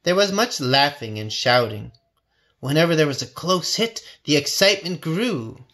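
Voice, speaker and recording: A man narrating a children's storybook with expressive, measured phrasing. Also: fairly high pitch. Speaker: storybook reader.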